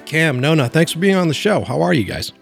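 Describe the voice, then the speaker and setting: A man's voice: fairly high pitch, sing-song, fairly quick, vocal fry, music in the background. A man from the US East Coast hosting a podcast, chatty and joking, swearing casually. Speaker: podcast host. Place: Los Angeles, remote call.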